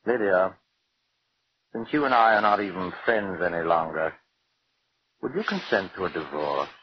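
An actor playing a bitter, taunting wealthy husband resigned to death in a theatrical 1940s radio drama style. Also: male voice, deep voice, lively intonation, a little hiss or hum. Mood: cool, sardonic. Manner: theatrical, sardonic, deliberate. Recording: muffled old radio recording with music.

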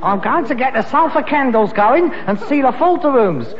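An exasperated London son in a sitcom, scolding and sarcastic. His voice sits high. The old recording is dull, with long reverb and faint noise. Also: animated, moderate pace. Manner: scolding, sarcastic, working-class grammar. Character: exasperated, nagging grown son with frustrated ambitions. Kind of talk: British sitcom acting before an audience.

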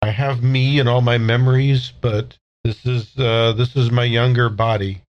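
A man's voice: medium pitch, steady tone, muffled recording, lightly acted. Man as confused old-souled young man, stumbling hesitant speech, tabletop horror roleplay, dullish microphone. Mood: halting, wry. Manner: halting, confused, stammering. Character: bewildered old soul in younger man's body.

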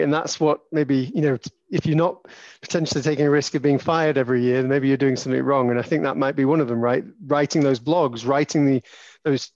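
A man's voice: mid-range voice, darkish tone, no room sound. A male podcast host asking long, hesitant questions full of restarts. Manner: rambling, hesitant, many restarts. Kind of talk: casual interview questions. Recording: dull dry lo-fi sound.

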